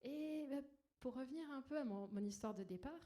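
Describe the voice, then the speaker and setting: A woman with a soft, gentle voice, speaking to an audience in a personal, lightly self-deprecating conference talk. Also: high voice, fairly quick. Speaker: conference speaker, agile coach. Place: indoor conference room. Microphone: stage microphone. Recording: noisy live microphone in a talk room.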